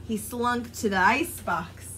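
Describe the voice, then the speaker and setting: A woman's voice: fairly high pitch, takes it slowly, slight background noise. Woman reading a rhyming storybook aloud. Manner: expressive, sing-song rhyming delivery. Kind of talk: reading a children's book aloud.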